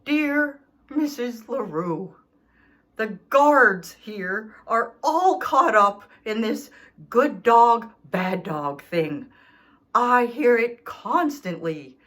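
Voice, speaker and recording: An indignant, self-pitying dog , voiced by a woman, pleads his case in a children's storybook. The pitch is high and swings widely, and the delivery is slow and theatrical, leaning hard on every grievance. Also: animated, fairly bright tone, heavily acted. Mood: defiant, complaining. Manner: theatrical, aggrieved, emphatic. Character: indignant, self-pitying, melodramatic male dog writing letters home. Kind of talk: expressive children's storybook read-aloud.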